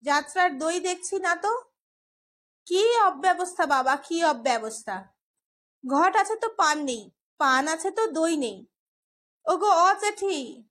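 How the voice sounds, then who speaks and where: A woman's voice: extremely high pitch, animated, takes it slowly, pauses often, a bit bright, exaggerated voice. A woman voicing a nagging young village woman who fusses and fires off questions. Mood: fussy, exasperated. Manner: fussy, complaining.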